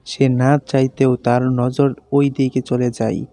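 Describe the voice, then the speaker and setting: A man's voice: mid-range voice, level tone, slow pace, mellow, faint music behind. A man narrating a scripted horror story for a cartoon.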